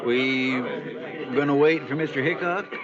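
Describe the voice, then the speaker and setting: Guy playing loyal western sidekick deputy, slightly high voice, folksy n obliging, muffled old radio. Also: a little slow, close to an everyday voice. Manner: folksy, plain, eager to oblige. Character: loyal, deferential male deputy to a western marshal. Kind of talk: 1940s-50s western radio drama acting. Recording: muffled old-time radio recording, music behind.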